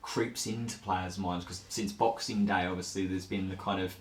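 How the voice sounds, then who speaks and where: Deep, flat-toned male sports interviewer. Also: moderate pace, reverberant. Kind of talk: post-match interview questioning.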